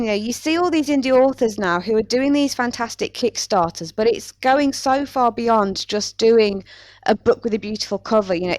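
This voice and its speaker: A woman's voice: on the high side, no echo. A woman chatting casually as a podcast host about her interests. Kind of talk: casual book podcast chat.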